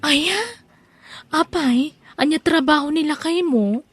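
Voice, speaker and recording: A woman's voice: high-pitched, takes it slowly. A woman with an Ilocano Filipino accent, performing as host and player in a scripted radio drama. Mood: friendly gossip. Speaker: drama channel host and narrator.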